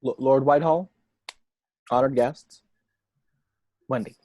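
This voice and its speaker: A man's voice: mid-range voice, steady tone, takes it slowly, no room sound, highs cut off. A man playing a humble, wry married father giving an earnest tribute speech in a script table read.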